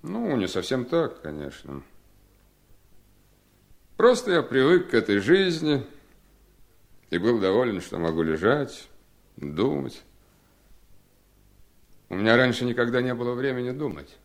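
An actor playing a weary, ailing husband in a radio play, thoughtful, courteous and quietly firm. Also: male voice, medium pitch, very animated, a little slow, gravelly, long pauses. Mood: reflective. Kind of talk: 1970s Soviet radio play acting.

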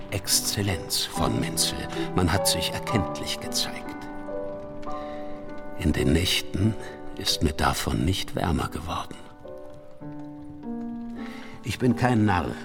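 A proud, melancholy old artist broods aloud in a radio play. His voice is deep and creaky, speaking slowly in short phrases over clear music. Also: exaggerated voice. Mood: proud, bitter. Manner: terse, brooding, musing monologue. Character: weary, proud old court painter Menzel, melancholy.

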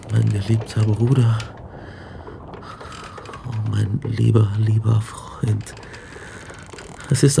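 A haunted, grieving man in a radio play. His voice has a creaky, gravelly grain and he confides in halting, brooding phrases, with clear ambient noise around him. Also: lowish voice. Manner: halting, brooding, confessional delivery. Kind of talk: radio drama acting and narration.

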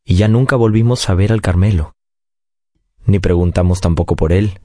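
Low, mellow male story narrator. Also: normal speed, no room sound, clean studio sound. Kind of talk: dramatic literary narration for radio.

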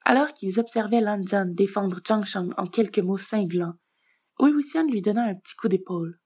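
A woman's voice: mid-range voice, normal speed, darkish tone, breathy edge, dry recording, clean studio sound. A woman narrating a scripted story as a reader.

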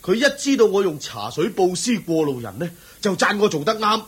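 Humble poor villager, husky voice, very animated, eager and deferential, Hong Kong Cantonese, radio play. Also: male voice, high-pitched, fairly quick, full character voice. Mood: proud, cheerful. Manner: deferential, excitable, chuckling and sighing. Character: poor, humble, eager devout village tea-giver and husband. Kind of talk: radio drama acting.

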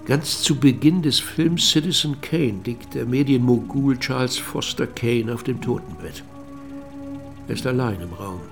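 Creaky-voiced reflective male narrator. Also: medium pitch, unhurried, over music. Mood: measured, enigmatic. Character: reflective male narrator recounting evidence and memories. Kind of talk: scripted radio play narration.

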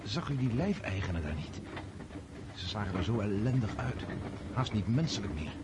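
An actor playing a kind-hearted, awkward young Russian count in a radio play, halting and self-correcting. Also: male voice, on the low side, animated, natural voice. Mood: earnest, troubled. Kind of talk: radio drama acting. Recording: noisy old radio play recording.

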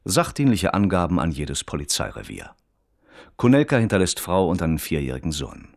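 An actor as the sober, detached narrator of a German radio crime play, reading like an official report in standard German. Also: male voice, low voice, very animated, fairly quick, gravelly, no echo, clean studio sound, exaggerated voice. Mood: formal, factual.

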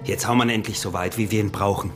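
An actor playing a greedy, scheming smuggler husband in a radio drama, with a husky voice, an Austrian accent and a muttering, wheedling manner. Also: male voice, fairly low pitch, steady tone, racing, over music, close to an everyday voice. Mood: scheming, uneasy.

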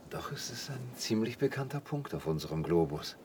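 An actor playing a reserved, gently teasing island recluse in a German radio drama, calm and wry. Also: male voice, lowish voice, moderate pace, slight background noise. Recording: slightly distant, faint background ambience.

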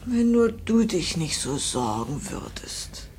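An actress playing a patient, soothing wife in a 1950s German radio drama, gently coaxing in a hushed, breathy way. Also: female voice, lowish voice, big pitch swings, slow. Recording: noisy vintage 1950s radio recording.

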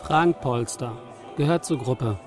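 With music clearly behind him, a brash young activist man played by an actor talks in slang in a radio crime drama. His middle-pitched voice is confrontational and hostile. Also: slow pace, close to an everyday voice. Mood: dismissive. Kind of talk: German radio play dialogue.

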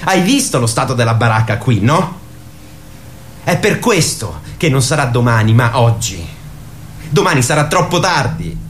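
An actor playing an eager, naive eighteen-year-old lad in a radio drama, rambling in slang and swearing. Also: male voice, medium pitch, very animated, normal speed, a little hiss or hum, close to an everyday voice. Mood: urgent, insistent. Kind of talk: theatrical radio drama acting.

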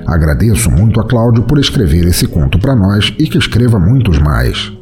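A man narrating a scripted fantasy story as podcast host, with a dramatic, expressive delivery. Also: lowish voice, normal speed, faint music behind.

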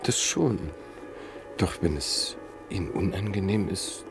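A man's voice: low-pitched, animated, takes it slowly, creaky, soft background music, exaggerated voice. An actor in a classic radio play playing a curious man on a train who keeps asking prompting questions. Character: curious male train passenger, inquisitive. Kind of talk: classic radio drama acting.

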